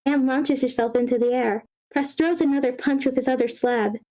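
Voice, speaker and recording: A woman's voice: high voice, steady tone, moderate pace, mellow, rough recording. A woman reading screenplay action lines aloud as the narrator of a live table read.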